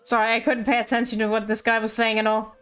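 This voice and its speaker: Female game streamer: high voice, playful rambling chat, faint game music underneath. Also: monotone, fast, a bit bright. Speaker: game livestreamer. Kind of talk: casual live game commentary. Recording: livestream with game music behind.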